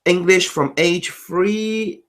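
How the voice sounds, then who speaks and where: A man's voice: high-pitched, fairly bright tone. Cantonese-native man from Macau. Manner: explains in quick bursts, rattles off lists. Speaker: interview guest. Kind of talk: casual conversational interview.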